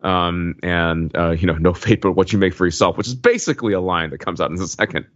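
Lower-voiced man, halting podcast discussion. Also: no room sound, dull audio. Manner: halting, repeats words while forming thoughts. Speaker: podcast co-host. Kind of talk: casual explanatory discussion.

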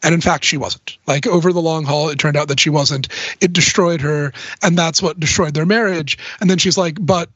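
A man with a fairly high voice talks casually and analytically as a panelist on a film podcast, often hedging as he works through a point. The sound is dry and slightly dull. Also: steady tone, normal speed, fairly bright tone, no echo. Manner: analytical, hedging with frequent "like" and "sort of". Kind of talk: casual discussion.

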